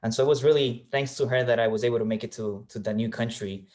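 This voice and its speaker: A man's voice: mid-range voice, fast talker, a little mellow. A young man from Colombia answering interview questions in a thoughtful way, with many fillers and restarts. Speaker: guest, real estate agent.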